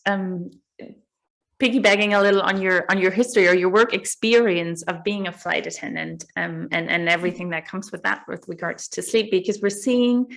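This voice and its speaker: A woman hosting a podcast, asking her guest questions in a friendly, easygoing way with many fillers. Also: mid-range voice. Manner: friendly, many fillers, speaks in long running sentences. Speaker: podcast host. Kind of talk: casual podcast interview.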